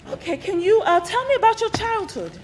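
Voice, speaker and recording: An actress playing a calm, patient therapist who asks measured questions. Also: female voice, very high-pitched, slight background noise. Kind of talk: stage play acting.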